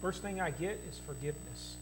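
A middle-aged man preaching a sermon from a podium microphone in a church hall, swelling louder on key phrases. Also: fairly high pitch, lively intonation, a little fast. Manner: preaching with big rises in volume on key phrases. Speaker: pastor. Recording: mains hum behind the voice.

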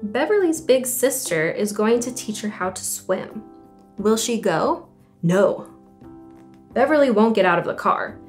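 A young woman reading stories and poems aloud to children, animated and clearly enunciated, with questions for her young listeners. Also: mid-range voice, a little slow, soft background music. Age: young adult. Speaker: children's story time host and reader. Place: small indoor room at home.

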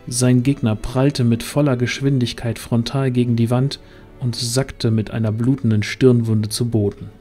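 Male storyteller, soft dark tone, mid register, dramatic scripted fantasy narration. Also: medium pitch, little pitch movement, normal speed, faint music behind.

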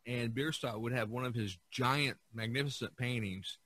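A man's voice: mid-range voice, moderate pace, fairly bright tone, dry recording. A man hosting a podcast, telling stories about art history in a casual, enthusiastic way, often stumbling and restarting. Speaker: podcast host.

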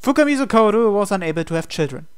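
A man reading a visual novel aloud as expressive narration, in a measured, dramatic way. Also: sits high, clear, resonant tone. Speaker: gaming channel host reading aloud. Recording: voice over game music. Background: game music.

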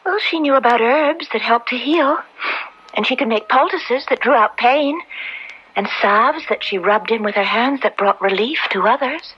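An actress in an old radio drama playing a frightened young maid condemned as a witch, halting, tremulous and pleading, often dropping to a breathy whisper. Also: on the high side, a little hiss or hum, close to an everyday voice. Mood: sad, halting. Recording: dull lo-fi old radio recording.

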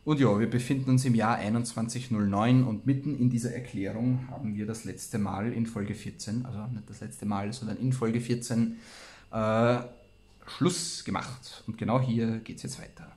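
A man's voice: fairly low pitch, steady tone, normal speed, a little mellow. A man chatting casually and playfully as co-host of a reading show, rambling as he goes. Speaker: co-host who reads old science fiction aloud. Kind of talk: casual podcast chat.

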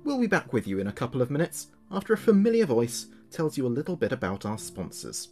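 A man playing a chatty late-night local radio DJ, with a measured presenter's delivery. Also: medium pitch, sing-song, darkish tone, faint music behind. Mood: presenting, chatty. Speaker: radio host. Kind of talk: scripted late-night radio show narration.

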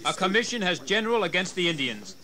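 An actor playing a bold, hot-headed colonial rebel leader in a historical audio drama, speaking forcefully and declaiming. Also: male voice, sits high, very animated, quick pace, heavily acted, low-quality recording. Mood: firm.

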